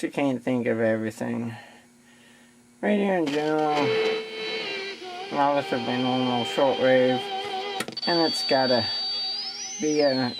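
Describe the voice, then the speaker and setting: A man giving a casual, unscripted gadget demonstration in a low-key, halting way, thinking aloud as he tinkers. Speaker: radio hobbyist demonstrating a receiver. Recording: very noisy amateur recording with radio static.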